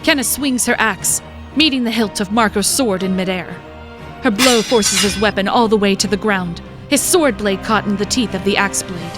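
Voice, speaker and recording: Woman narrator over clear background music. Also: mid-range voice, moderate pace. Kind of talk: scripted dramatic narration.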